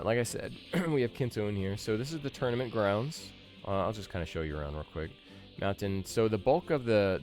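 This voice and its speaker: Man, thirties, low voice, gaming host, casual commentary, thinking aloud, game music behind.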